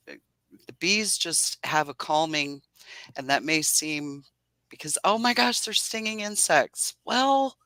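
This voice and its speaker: A woman's voice: low voice, pushed and bright, no room sound. A woman beekeeper with a soothing voice, explaining patiently and laughing often in a casual interview. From Indiana. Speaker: beekeeper guest.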